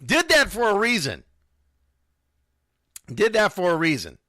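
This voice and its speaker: A man's voice: high voice, huge pitch swings, measured pace, bright, forceful tone, dry recording, clean studio sound. A man hosting a casual radio show, talking informally and animatedly. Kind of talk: casual live sports radio talk with read sponsor spots.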